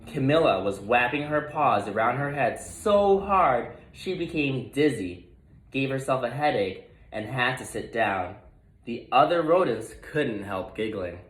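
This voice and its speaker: A man narrates a children's storybook expressively. He takes it slowly, in a medium-pitched voice. The room adds a clear echo. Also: expressive, fairly bright tone. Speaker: storybook reader.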